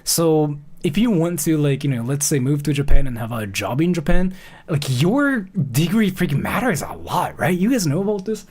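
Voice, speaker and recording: A man's voice: a little high, sing-song, darkish tone. A man chatting casually on a livestream as he comments, rambling and often repeating himself. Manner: rambling, repeats phrases, halting. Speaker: live streamer reacting to a video.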